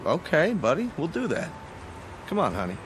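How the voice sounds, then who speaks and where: An American actor playing a practical, puzzled husband out car shopping, in 1960s television drama style. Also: male voice, fairly high pitch, huge pitch swings, normal speed. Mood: firm, wary. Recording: old TV soundtrack with faint music.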